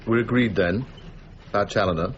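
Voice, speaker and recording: An actor in a period radio drama playing a genteel, soft-hearted lord, clipped and patrician but hesitant when pressed. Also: male voice, on the low side, normal speed, muffled recording, natural voice. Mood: conciliatory.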